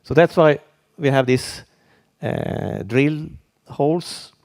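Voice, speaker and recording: A Swedish man with a Swedish accent, giving a conference presentation in English as a second language, halting and full of restarts. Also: on the high side, a little mellow. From Sweden. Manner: halting, with frequent restarts and fillers. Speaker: conference speaker.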